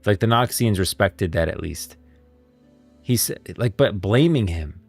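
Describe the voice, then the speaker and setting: A man's voice: on the low side, animated, measured pace, gravelly, dry recording, faint music behind. A man giving casual livestream commentary on game lore, rambling and thinking aloud as a streamer.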